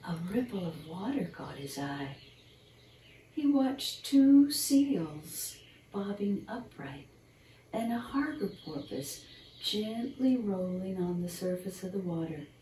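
An older woman reads a children's picture book in a gentle, unhurried, expressive bedtime style, talking to young listeners. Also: lowish voice. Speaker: storyteller reading a picture book. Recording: roomy, distant mic, faint background noise.

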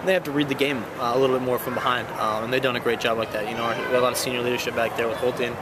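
A young man, a college soccer player, giving a postgame interview on a field at night, quick and relaxed with many fillers and restarts. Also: mid-range voice. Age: young adult. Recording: loud outdoor noise.

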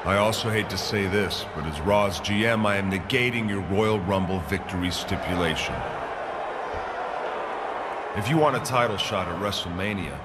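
An actor playing a smug, scheming wrestling villain in authority, taunting and deliberate in his delivery. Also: sits low, over a noisy crowd, heavy background noise, natural voice. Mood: smug, commanding. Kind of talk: wrestling promo acting.

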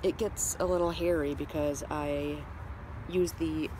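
A woman's voice: sits low, fairly even intonation, unhurried, background noise. A woman in her thirties with a cold, chatting casually to a handheld camera on an outdoor deck, rambling with lots of ums. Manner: rambling, many ums and restarts. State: stuffed up with a cold. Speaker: family vlogger. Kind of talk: casual vlog chat. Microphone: handheld camera at arm's length.

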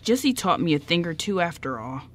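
Woman playing an earnest, low-voiced young man. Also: sing-song, a little fast. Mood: polite, eager. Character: earnest, polite young man, an amateur actor. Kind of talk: amateur radio drama acting.

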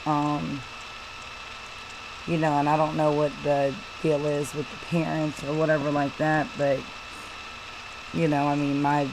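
A woman's voice: low voice, flat delivery. Woman rambling over a news clip, lots of ums, noisy mic. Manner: halting, many fillers and restarts. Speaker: channel host reading news and commenting. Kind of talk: casual commentary over a screen recording.

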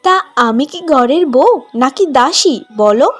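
A woman's voice: high-pitched, animated, unhurried, no echo, faint music behind. A woman voicing a vain, haughty young bride in a cartoon, with an affected, self-admiring manner. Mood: scolding, threatening. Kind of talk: cartoon voice acting.

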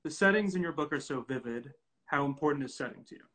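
Young man, bright forward voice, interview host, easygoing, casual chat, video call. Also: on the high side, animated, fast, poor audio. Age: young adult. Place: indoor room at home, video call.

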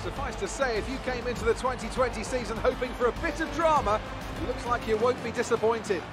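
A man playing a motor racing commentator, giving excited sports commentary. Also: very high-pitched, a little fast, over music. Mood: animated. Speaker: race commentator.

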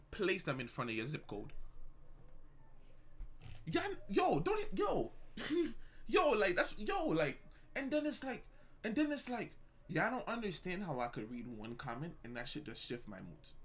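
A young man talks casually on a live stream, his voice sitting a bit high for a man. He is slangy and animated as he reacts to what his viewers type. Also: moderate pace, breathy edge. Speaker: livestreamer.

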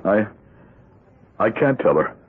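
An actor playing a desperate, cornered accountant, flustered, pleading and halting, in a 1970s radio drama. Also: male voice, on the low side, lively intonation, breathy edge, exaggerated voice. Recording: muffled old radio broadcast with music.

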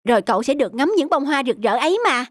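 A woman's voice: high-pitched, lively intonation, fast talker, dry recording, studio quality. A woman voicing a kind, helpful young boy in a cartoon, earnest and encouraging. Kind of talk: cartoon dubbing.

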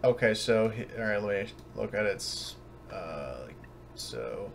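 A man's voice: lowish voice, sing-song, slow, vocal fry, background noise. A man acting as game master in a casual tabletop game, hesitant and unsure, often thinking aloud.

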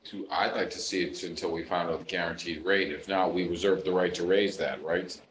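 A man's voice: sits low, steady tone, a little fast, reverberant, crowd noise, poor audio. A man on a town board pushing back bluntly and skeptically during a meeting discussion. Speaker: board member.

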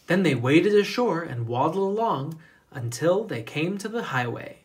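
A storybook reader narrating a children's picture book in a deliberate, expressive storytelling manner. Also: mid-range voice, a little hiss or hum.

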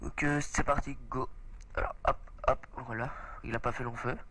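A man's voice: medium pitch, moderate pace, poor audio. A young man, a bit stuffy from a cold, hosting a casual let's play chat and exclaiming often. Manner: excitable, chatty, often exclaims. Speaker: gaming channel host.

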